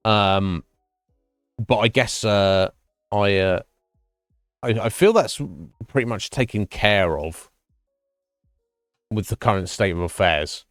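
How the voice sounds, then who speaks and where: A man streaming live and chatting with viewers, thinking his answers through in a halting, thoughtful way. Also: on the low side, no echo, clean studio sound, faint music behind. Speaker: Twitch streamer.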